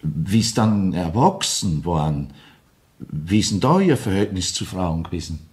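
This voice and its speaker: An actor playing a composed, sceptical police inspector with a Viennese accent, questioning with measured authority in a crime radio drama. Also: male voice, low-pitched, big pitch swings, darkish tone. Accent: Viennese Austrian.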